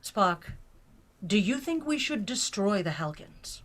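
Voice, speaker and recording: A woman playing a guarded, commanding starship captain in a science fiction audio drama, with a terse, deliberate manner. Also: mid-range voice, big pitch swings. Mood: guarded, firm.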